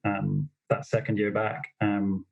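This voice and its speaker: A young man telling stories as a podcast guest, in a self-described monotonous voice with lots of fillers. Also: on the low side, unhurried, creaky, phone-quality sound, soft background music. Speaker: snowboard instructor trainer, podcast guest. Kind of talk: casual interview storytelling.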